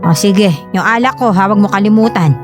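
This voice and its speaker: A woman's voice: medium pitch, a little fast, over music, natural voice. A woman playing an irritable, demanding middle-aged mother in a Filipino radio drama, nagging and defensive. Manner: nagging, defensive, tags demands with sharp questions. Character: irritable, demanding mother hooked on gambling and drink.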